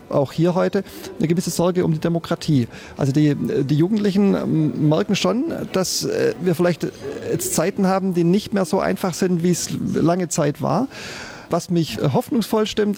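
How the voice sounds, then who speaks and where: A man with a Swabian accent, a judge answering questions in an interview, speaking haltingly with frequent restarts and fillers. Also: high voice, creaky, warm tone. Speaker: constitutional court judge.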